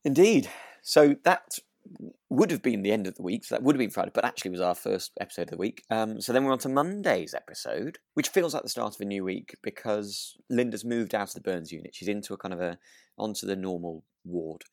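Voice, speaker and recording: A man with a very low, creaky voice chats casually about a radio drama as a co-host. He feels his way through sentences, repeating words, in a dry, close sound. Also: animated, brisk, a little mellow, no room sound. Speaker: podcast co-host.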